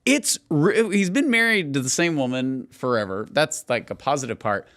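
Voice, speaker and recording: A man's voice: medium pitch, lively intonation, normal speed, a bit bright. A middle-aged man co-hosting a podcast, telling stories and teasing in casual, emphatic, filler-heavy banter. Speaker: podcast co-host.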